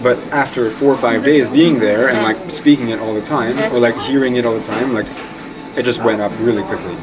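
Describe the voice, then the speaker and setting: A young man from Eastern Canada chatting casually in an interview, relaxed and rambling with lots of fillers. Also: medium pitch, a little fast, over music, low-quality recording. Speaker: interview guest. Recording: loud crowd noise behind.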